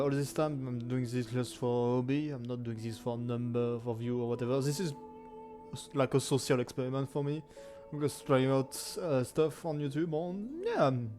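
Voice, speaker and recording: A man in his twenties with a heavy French accent talks casually and loosely, full of fillers and small restarts. His voice sits mid-pitched with a mellow, darkish tone. Faint music plays softly behind him. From France. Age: 20s. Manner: rambling, many fillers and self-corrections, frequent laughs. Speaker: gaming YouTuber. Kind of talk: casual anniversary chat to camera.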